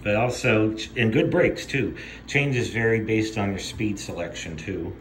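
A man's voice: fairly low pitch, measured pace. A middle-aged man giving a casual, rambling demonstration as a vintage gear hobbyist. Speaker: vintage tape recorder collector demonstrating a machine. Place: home dining room. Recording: roomy echo, steady background noise.

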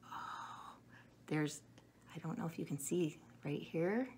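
A middle-aged woman chatting to young children in an animated, simple way in a room at home. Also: low voice, slow pace, a little hiss or hum. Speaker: storyteller showing children books and eggs. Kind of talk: casual chat to children.

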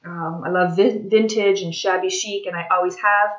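A woman in her forties, slightly raspy, chatting casually at home about her craft journal pages with lots of fillers. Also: lowish voice, fairly even intonation, normal speed. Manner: chatty, rambling, many fillers. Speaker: craft and tarot vlogger. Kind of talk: casual chat while showing craft pages. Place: home dining room by a china cabinet. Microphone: webcam at desk. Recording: slightly dull lo-fi webcam audio.

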